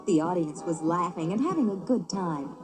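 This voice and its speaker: A woman narrating a children's story as a book on tape, expressive and clearly paced. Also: on the low side, moderate pace, music in the background.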